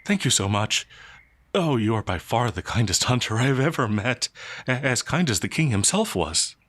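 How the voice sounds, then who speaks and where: A man voicing a gentle, confused, ancient werewolf in an ASMR roleplay, soft-spoken and polite, with hesitant stammering. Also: mid-range voice, sing-song, no echo. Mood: grateful, relieved. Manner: halting, hesitant, bewildered stammering. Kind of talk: ASMR roleplay audio drama.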